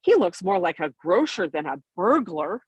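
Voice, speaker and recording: A woman's voice: lowish voice, very animated, moderate pace, darkish tone, breathy edge, dry recording, muffled recording. A woman voicing a scornful, doubting male dwarf in a storybook, grumbling dismissively.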